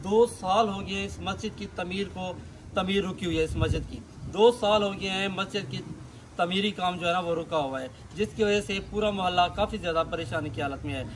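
A man with a fairly high voice presents a charity appeal in the field. He sounds devout and earnest, circling back over his points, with clear background noise around him. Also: a little fast. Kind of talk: spoken appeal to camera with on-site interviews. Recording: noisy field recording with vehicle sounds.

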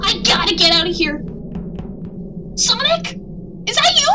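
A woman's voice: very high voice, big pitch swings, unhurried, over music, heavily acted. A woman voicing an innocent, anxious young boy fox in a fan cartoon. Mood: nervous. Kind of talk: fan-film cartoon acting.

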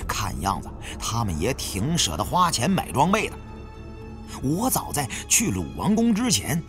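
A man's voice: mid-range voice, huge pitch swings, moderate pace. A man reading a novel aloud as a dramatised audiobook narrator. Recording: music behind the reading.

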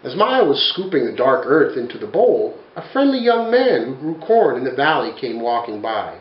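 A man's voice: mid-range voice, moderate pace, reverberant, highs cut off. A man in his forties reading a children's picture book aloud in a bookshelf-lined study, telling it expressively. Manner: expressive, animated storytelling. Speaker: storyteller.

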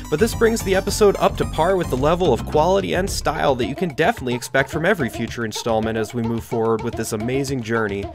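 A man reading scripted first-person narration for a story, recorded with a roomy echo. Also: fairly high pitch. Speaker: narrator and channel creator.

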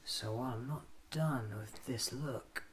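Guy in his 30s doing asmr roleplay, soft whispery musing to himself, super slow, bit of background hiss and ambience. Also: medium pitch. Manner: musing, talking to himself in short halting phrases.

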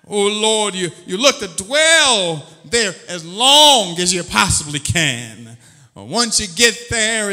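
A man's voice: high voice, sharp tone, big-room sound. A man preaching a sermon as pastor, fervent and drawn out, calling out "oh Lord" between phrases. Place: church sanctuary.

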